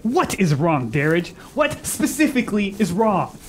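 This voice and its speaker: An actor playing a flippant, defiant pleasure-seeker in a fantasy radio drama, mocking and theatrical in delivery. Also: male voice, sits high, sing-song, a little slow, slight background noise. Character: flippant, pleasure-seeking, defiant male traveler.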